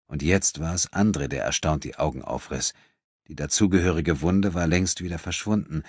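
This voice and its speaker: A man narrating an audiobook dramatically, with grave, measured and suspenseful delivery. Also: extremely low pitch, slightly rough, dry recording, studio quality.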